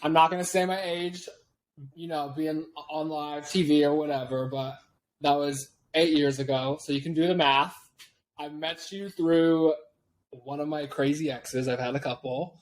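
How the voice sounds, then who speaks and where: A man in his late twenties from Washington State, a guest talking over a video call, laid-back and quick to laugh. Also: a little high, steady tone, rough recording. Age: 20s. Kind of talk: casual interview chat.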